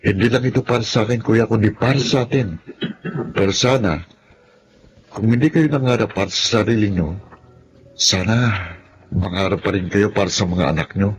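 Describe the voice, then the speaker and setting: An actor playing an earnest, preachy younger brother, a new graduate, in a Filipino radio drama. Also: male voice, lowish voice, normal speed, long pauses, lightly acted, low-quality recording. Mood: earnest, hopeful.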